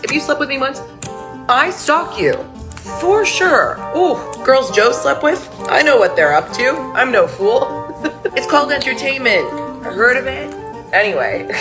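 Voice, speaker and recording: Playful woman confessing over music. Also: fairly low pitch. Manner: playful, blunt, self-mocking, swears freely. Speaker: storyteller. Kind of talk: casual comedic confessional storytelling.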